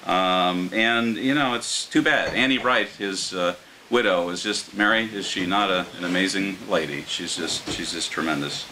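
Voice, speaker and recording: An older American man reading his poetry live at a microphone, in a measured, earnest way. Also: low voice, bright, forceful tone, low-quality recording. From Martins Ferry, Ohio, United States. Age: older, around 60. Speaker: poet reading his own work. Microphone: microphone on a stand. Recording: clear background hiss.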